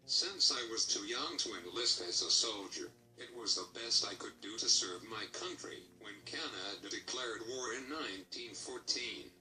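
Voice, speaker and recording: A man's voice: on the low side, animated, normal speed, hardly any pauses, close to an everyday voice. A man playing a proud Canadian statesman, reading a formal scripted first-person life story. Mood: measured, proud. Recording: distant mic, music behind.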